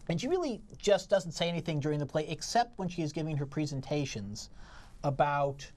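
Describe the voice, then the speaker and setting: A woman co-hosting a casual theatre review, talking in a halting way and circling back to her points. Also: sits low, lively intonation, darkish tone. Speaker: theatre critic, co-host.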